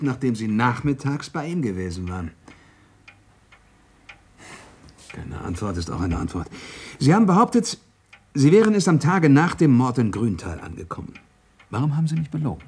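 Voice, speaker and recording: A man's voice: mid-range voice, sing-song, brisk, darkish tone, husky. A man playing a shrewd, commanding police inspector in a German radio crime drama, questioning with dry, sardonic authority. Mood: stern, probing. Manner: probing, authoritative, dryly mocking.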